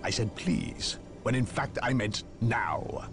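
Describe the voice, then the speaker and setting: A man's voice: lowish voice, very animated, slow, vocal fry, music in the background, heavily acted. An actor playing an arrogant male inquisitor, speaking with clipped, cutting, condescending politeness. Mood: cold. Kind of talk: video game cutscene acting.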